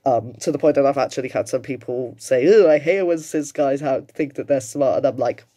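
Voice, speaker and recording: British guy late 20s, mellow voice, chatting casually, swears a lot, pretty emphatic. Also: mid-range voice, fairly quick. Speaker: commentator reacting to another person's video. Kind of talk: casual reaction commentary.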